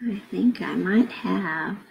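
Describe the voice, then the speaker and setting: A woman's voice: medium pitch, takes it slowly, vocal fry, lo-fi sound, a little hiss or hum. A woman in her thirties talking casually to children as their teacher, explaining simply and putting questions to them. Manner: explains simply, asking questions of young listeners. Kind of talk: casual read-aloud lesson for children. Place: indoors at a computer, webcam screen recording.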